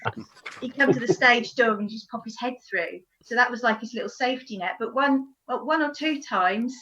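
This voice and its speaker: A woman telling a stage anecdote in an animated way, acting out moments as she goes, on a video call. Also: a little high, normal speed, highs cut off. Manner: animated storytelling, acts out moments. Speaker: actor.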